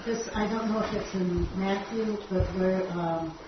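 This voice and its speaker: A woman's voice: medium pitch, fairly even intonation, measured pace, muffled recording, heavy background noise. Hesitant woman asking from far back in an echoey room. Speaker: congregation member asking questions.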